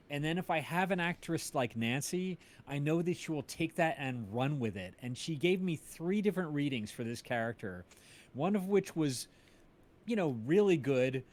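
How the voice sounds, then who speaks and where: A man chatting casually in an interview as an audio drama creator, telling animated anecdotes and often checking in with tag questions. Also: on the high side. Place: indoors, on a group video call.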